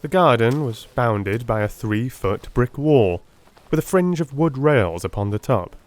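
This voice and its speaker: A man narrating an audiobook in a dramatic, measured, literary style. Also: medium pitch, animated.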